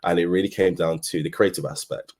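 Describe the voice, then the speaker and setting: A man's voice: low-pitched, steady tone, a little fast, darkish tone. A man with a London English accent giving an informal business presentation in a conversational, explanatory way. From London, England. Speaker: agency co-founder, speaker. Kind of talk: informal business presentation, telling own story.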